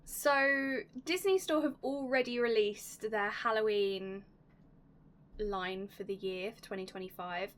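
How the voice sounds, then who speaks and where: A young woman hosting a casual unboxing vlog, chatty and enthusiastic, her voice slightly raspy and going hoarse. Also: mid-range voice, measured pace. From United Kingdom. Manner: chatty, enthusiastic, drops to soft whispers at times. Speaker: YouTube host.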